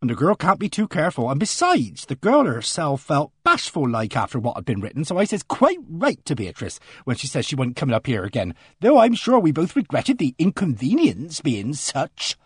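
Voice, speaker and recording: A man's voice: fairly high pitch, huge pitch swings, moderate pace, hardly any pauses, husky, no echo, studio quality, heavily acted. A man voicing an earnest, indignant middle-aged village charwoman who rambles breathlessly. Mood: indignant, rambling. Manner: breathless, rambling country speech.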